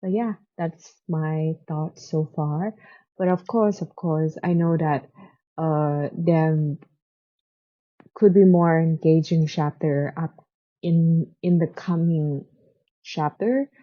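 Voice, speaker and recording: A woman's voice: low-pitched, steady tone, slow pace, muffled recording. A woman who speaks English as a second language, chatting casually and unscripted like a book vlogger, often hesitating and searching for words. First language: not English, speaks it as a second language.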